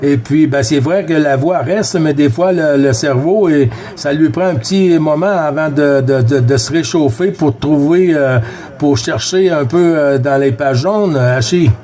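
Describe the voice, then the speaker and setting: A man's voice: fairly high pitch, unhurried, dark tone. A man from Quebec with a Quebec French accent, chatting casually as a CB operator and repeating his phrases. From Quebec, Canada. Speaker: CB radio operator. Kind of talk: casual radio chat.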